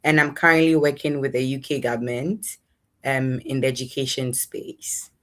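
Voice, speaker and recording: A woman's voice: very deep voice, little pitch movement, normal speed. A young adult woman with a Ghanaian accent, giving conversational answers as an interview guest. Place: in a room at home, online interview.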